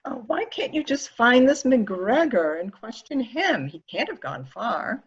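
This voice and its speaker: Woman acting a husky, defensive, protective woman. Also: fairly high pitch, big pitch swings, measured pace, lo-fi sound, exaggerated voice. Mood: uneasy, evasive. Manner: defensive, hedging, primly indignant. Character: evasive, protective woman guarding an excitable boy. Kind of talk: dramatic reading of a murder mystery.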